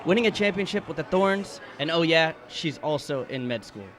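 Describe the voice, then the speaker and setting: A man delivering scripted documentary narration in a measured storytelling manner. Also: on the high side, expressive, fairly bright tone. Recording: clear background noise.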